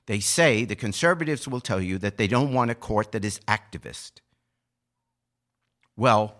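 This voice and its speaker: Emphatic American man with a bright, forceful voice. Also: medium pitch. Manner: emphatic, argumentative, rhetorical questions. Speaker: podcast co-host. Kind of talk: opinionated political monologue.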